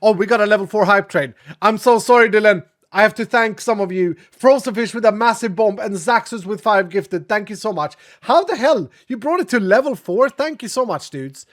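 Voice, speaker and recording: Streamer, male, sniffly and raspy from a cold, chatty and a bit dramatic, sits high in his range. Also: lively intonation, moderate pace, few pauses. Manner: chatty, dramatic, thanks viewers often. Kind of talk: casual live-stream chat.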